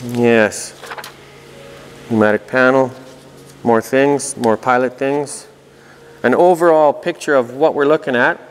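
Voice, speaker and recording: A man's voice: medium pitch, fairly even intonation, slow pace, long pauses, slight background noise. Male explainer host, mid-range voice, talks through technical diagrams conversationally with dry asides, recorded with a long reverberant tail. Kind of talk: informal technical walkthrough of diagrams, partly read aloud. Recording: reverberant room with long echo.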